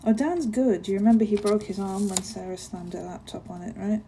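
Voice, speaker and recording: A woman's voice: medium pitch, fairly even intonation, a little fast, a little hiss or hum, close to an everyday voice. A woman playing a friendly, chatty giantess office worker in an ASMR roleplay, caring and a little hesitant as she talks to a tiny colleague. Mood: chatty, relaxed. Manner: bubbly, caring, apologetic, hesitant with soft laughs. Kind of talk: ASMR roleplay, one-sided conversation.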